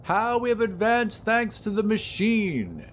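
A man's voice: sits high, big pitch swings, measured pace, slight background noise, pitched above the performer's own voice. A man voicing a haughty, imperious woman passenger in an audio drama. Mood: solemn. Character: haughty, easily horrified lady airship passenger.

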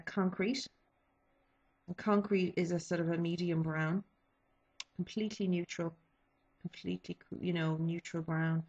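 A woman talks through her favourite products in a loose, rambling way, full of ums and restarts. Her voice sits a little low and is slightly stuffy from a cold. The sound is dull and lo-fi, picked up by a webcam mic in a small bedroom. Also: a little mellow, husky. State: sniffly with a cold. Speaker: beauty vlogger. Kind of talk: casual product review. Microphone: webcam built-in mic.

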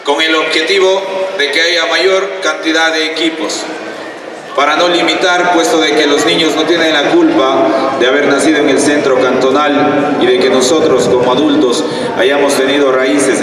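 A man's voice: on the high side, steady tone, moderate pace, a crowd in the background. An Ecuadorian man in his thirties gives a formal opening speech as championship president through a PA. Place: covered outdoor sports court. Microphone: stand microphone through loudspeakers. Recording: very echoey, noisy PA, distant.

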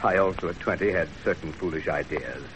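An actor playing a stern, cautious wealthy Chinese banker father, measured and admonishing, in 1930s radio drama on an old recording. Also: low voice, a bit bright, slight background noise, poor audio. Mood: stern, cautioning.

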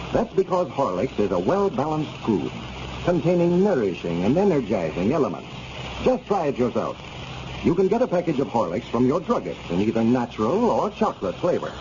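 A man announcing on old-time radio, reading sponsor copy and story introductions in a polished, deliberate delivery. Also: medium pitch. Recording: noisy, muffled old radio broadcast.

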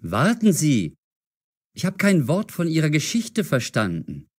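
A man's voice: on the high side, wildly swooping pitch, measured pace, breathy edge, heavily acted. An actor playing an impatient, easily annoyed fifteen-year-old boy in an audio drama, grumbling and exclaiming. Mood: agitated.

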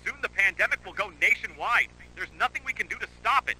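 A man's voice: sits high, lively intonation, quick pace, bright, forceful tone, husky, heavily acted, low-quality recording. A man acting a grave, agitated official in an analog horror drama, stiff and urgent. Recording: buzzy radio-like audio, faint hum.

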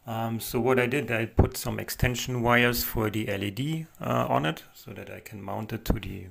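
A man narrating a model helicopter build in a relaxed voice-over, pausing often with fillers. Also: medium pitch, vocal fry. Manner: hesitant, many fillers, soft-spoken. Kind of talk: casual build walkthrough voice-over.

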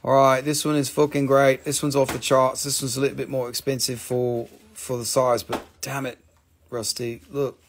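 A middle-aged man hosting a live sales stream, talking casually and excitedly, exclaiming and swearing as he shows stones at a table indoors. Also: medium pitch, moderate pace, background noise. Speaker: live-stream gem seller.

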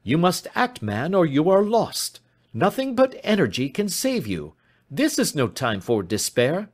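An impatient, brilliant male detective as a male narrator puts him on, pitched high and swooping widely. He speaks in imperious, emphatic exclamations, urgent and commanding, in storybook style. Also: very animated, unhurried, breathy edge, full character voice. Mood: urgent, scolding. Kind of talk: audiobook character voice.

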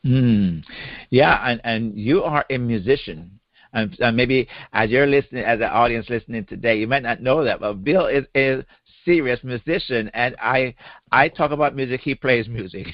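A man's voice: mid-range voice, normal speed, no echo. A man with a Jamaican accent co-hosting a podcast in casual chat, often repeating a word as he gets going. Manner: conversational, repeats words as he starts phrases. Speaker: podcast co-host. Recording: dry, slightly dull podcast audio.

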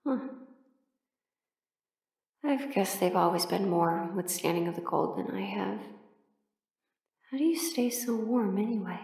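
A woman acting a sleepy, affectionate partner in an intimate audio roleplay, muttering softly with whispers and sighs. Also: mid-range voice, measured pace, creaky, pauses often, roomy sound, distant mic. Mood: drowsy, grumbling. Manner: soft, drowsy, muttering to herself with whispers and sighs. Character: sleepy affectionate partner awake in cold bed, playfully grumbling.

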